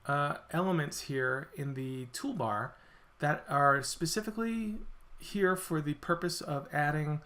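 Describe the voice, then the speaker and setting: Man, software walkthrough, fairly high voice, a bit hoarse, relaxed explaining. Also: unhurried. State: slightly losing his voice, clearing throat. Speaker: software product presenter. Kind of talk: screencast software demo.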